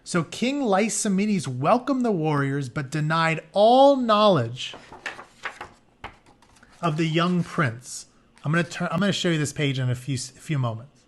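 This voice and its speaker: A man's voice: on the high side, lively intonation, unhurried. A man reading a story aloud to young listeners, dropping into informal, explanatory asides. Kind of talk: expressive read-aloud with casual explanatory asides.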